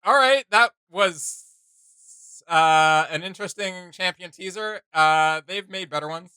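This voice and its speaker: A man with a bright, forward voice gives casual commentary as a reaction host. He rambles conversationally, and the sound is dry and close. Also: high voice, a little slow, clear, resonant tone, dry recording.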